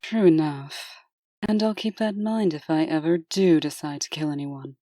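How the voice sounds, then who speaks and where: A cool, businesslike deputy played by a woman with a low voice, recorded dry and close. She is terse and skeptical, with an exasperated edge. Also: normal speed, dark tone, no echo. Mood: measured, dutiful. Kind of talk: comic dub character acting.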